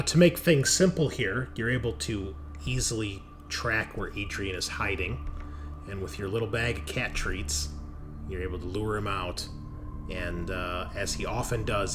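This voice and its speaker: A man narrating a tabletop roleplaying game as game master in a casual, conversational way. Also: low voice. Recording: clearly noisy background.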